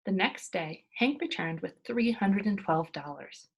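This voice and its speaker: A woman reading a storybook aloud as a teacher, expressive and emphatic, with dramatic hushed moments. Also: medium pitch, a little slow, creaky, slightly rough, lo-fi sound.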